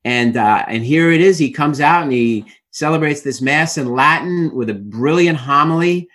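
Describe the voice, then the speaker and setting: A middle-aged man with a Brooklyn accent tells his own story to an interviewer. He halts often, filling gaps with "uh" and restarting his sentences, in a relaxed, conversational way. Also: on the high side, normal speed. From Brooklyn, New York. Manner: hesitant, many fillers and restarts. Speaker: author and interview guest.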